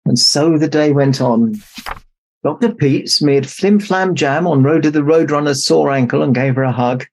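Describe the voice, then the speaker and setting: A man narrating a children's story aloud as an expressive storybook reader. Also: on the high side, normal speed, low-quality recording. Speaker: storybook reader in Santa persona.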